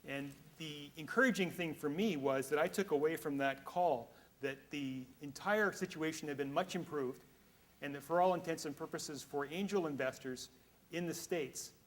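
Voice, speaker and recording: A man's voice: on the high side, normal speed. A man from Canada moderating a conference panel, speaking candidly with frequent restarts. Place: conference hall.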